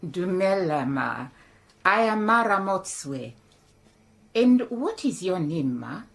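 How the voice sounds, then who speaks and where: A woman voicing a calm, shrewd middle-aged woman, measured and dryly pointed. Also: fairly low pitch, gravelly, exaggerated voice. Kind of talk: radio drama acting.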